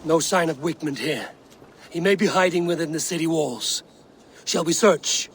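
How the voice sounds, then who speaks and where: Man acting a guarded Viking raider, higher-pitched. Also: moderate pace, slight background noise, natural voice. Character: Viking raider warrior, steady, loyal, guarded. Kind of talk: cinematic video game acting.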